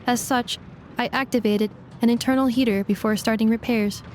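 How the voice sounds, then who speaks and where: A woman's voice: fairly high pitch, steady tone, moderate pace, background noise, close to an everyday voice. A woman playing a formal android helper. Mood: calm precise. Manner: formal, literal and clipped. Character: precise, polite female android helper. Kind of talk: video game cutscene voice acting. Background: game music.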